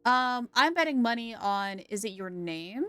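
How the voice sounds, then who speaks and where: A woman with a fairly high voice gives casual live commentary on a game. She is chatty and playful, inclined to giggle, with music running softly behind her. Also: expressive, measured pace, clear, resonant tone, no room sound. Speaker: game livestreamer. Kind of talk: casual reactive livestream commentary.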